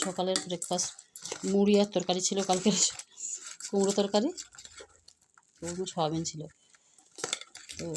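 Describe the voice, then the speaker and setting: A middle-aged woman chatting casually and homely for a family vlog. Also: on the low side. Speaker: family vlogger, mother. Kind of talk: casual home vlog chat. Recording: noisy home recording with clicks.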